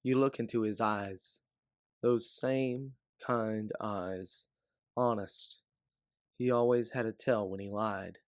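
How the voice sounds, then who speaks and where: Low-voiced man narrating a dramatic story, dry sound. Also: steady tone, slow, no room sound. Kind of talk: second-person dramatic scripted narration.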